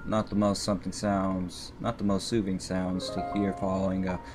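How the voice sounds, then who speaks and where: Low-voiced man reading over loud music. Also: little pitch movement, normal speed. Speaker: video game streamer. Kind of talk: reading game text aloud with offhand comments. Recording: loud game music behind voice.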